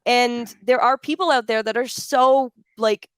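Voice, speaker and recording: Woman, panel moderator. Smooth, crisp voice, medium pitch, dry sound, casual and emphatic delivery. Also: unhurried, fairly bright tone, no room sound. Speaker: panel moderator and audio drama creator. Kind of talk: panel discussion, casual talk.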